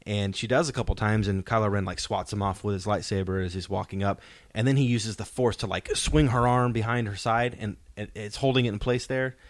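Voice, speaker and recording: A man's voice: fairly low pitch, steady tone, brisk, dry recording. A man hosting a podcast in casual pop-culture discussion, explaining his points and posing rhetorical questions. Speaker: podcast host. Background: soundtrack music playing faintly.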